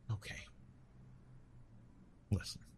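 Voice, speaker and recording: A man playing a retired god of love in an intimate ASMR roleplay, tender and teasing, speaking softly with a husky, breathy voice and halting, reassuring delivery. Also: a little hiss or hum. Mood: gently reassuring. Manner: gentle, reassuring, halting with pauses and self-corrections.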